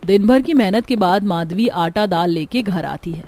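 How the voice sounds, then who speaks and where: Mellow woman narrating a cartoon story. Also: medium pitch, expressive, moderate pace. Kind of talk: scripted dramatic storytelling for a cartoon. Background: background music.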